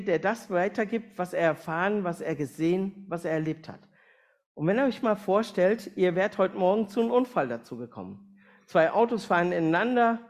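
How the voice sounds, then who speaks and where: A man speaking to a congregation as a guest mission speaker, plain-spoken, addressing listeners directly with questions and everyday illustrations. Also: high-pitched. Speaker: missionary aviation organisation speaker, guest preacher. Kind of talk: sermon and mission presentation to a congregation.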